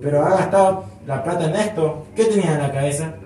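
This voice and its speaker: A man's voice: a little high, quick pace, music in the background, lightly acted. A man playing an impatient, bossy robber in a comedy skit, curt and commanding. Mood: irritated. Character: impatient, bossy male bank robber leader. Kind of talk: amateur comedy skit acting. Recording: echoey noisy room, distant mic.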